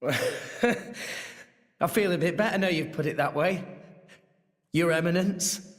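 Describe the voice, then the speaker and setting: A man's voice: fairly high pitch, slow. An actor playing a nervous, polite teenage boy hero in a video game cutscene, hesitant and stammering, in a reverberant hall. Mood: relieved.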